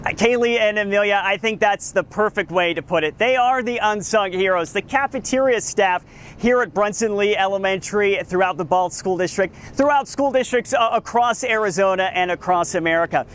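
Guy reporter live outside a school, kinda high voice, super upbeat and chatty, lapel mic. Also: normal speed, a bit bright. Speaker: field reporter. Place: outdoors in front of a school building.

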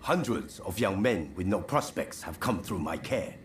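A man voicing a good-natured middle-aged fire chief in a video game, gruff, informal and hearty. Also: low voice, expressive, moderate pace, background noise. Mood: agreeable.

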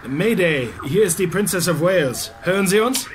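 An actor playing a haughty, easily angered first officer of a ship in a radio drama, sharp and authoritative. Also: male voice, high voice, expressive, moderate pace, soft background music. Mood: urgent. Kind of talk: German radio drama acting.

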